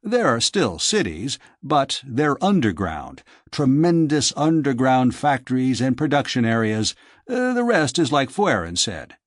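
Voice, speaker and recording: A man voicing a measured male exile who gently qualifies what others say, in a science fiction audiobook. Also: mid-range voice, very animated, dry recording, clean studio sound.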